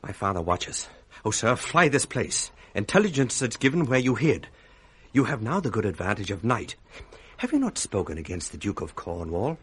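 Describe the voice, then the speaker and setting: An actor playing a scheming, false young brother with a British accent in a 1960s radio Shakespeare drama. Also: male voice, lowish voice, very animated, moderate pace, fairly bright tone, husky, full character voice. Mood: urgent, conspiratorial.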